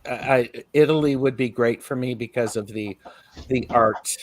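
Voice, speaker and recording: A man's voice: medium pitch, normal speed, a little hiss or hum. A middle-aged man co-hosting a casual chat, telling stories with small acted asides. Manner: chatty, storytelling with acted asides. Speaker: co-host, tarot reader.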